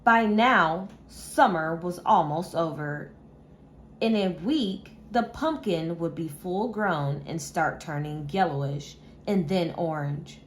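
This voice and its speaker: A woman reading a children's story aloud with measured, expressive delivery, like a teacher. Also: fairly low pitch, slight background noise.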